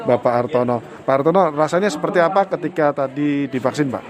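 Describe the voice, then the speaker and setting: A man working as a field reporter, giving a formal on-location news report and interviewing people outdoors at a village hall, with measured speech and some hesitant fillers. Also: a little high, crowd noise.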